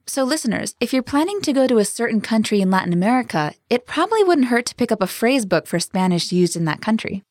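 A woman hosts a language lesson in an upbeat, teacherly way. Her voice sits a little high and moves with lots of melody. The sound is dry and close, straight from a studio mic. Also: big pitch swings, fast, few pauses, a little mellow, no echo. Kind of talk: scripted lesson dialogue.

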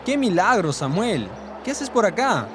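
A man's voice: high voice, very animated, normal speed, slight background noise. A man voicing a casual young man who greets a friend in a scripted textbook dialogue. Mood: friendly.

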